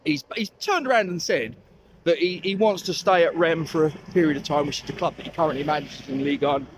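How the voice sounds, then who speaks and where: London bloke rambling on a dog walk, slightly high voice, bit of outdoor hiss. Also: male voice. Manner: chatty, rambling, self-correcting. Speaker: fan channel host. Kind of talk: casual monologue while walking the dog.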